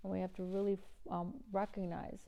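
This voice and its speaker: A woman's voice: medium pitch, level tone, a little fast, vocal fry, warm tone, muffled recording. A middle-aged woman from Iran, an environmental activist, answering interview questions earnestly with many restarts and fillers.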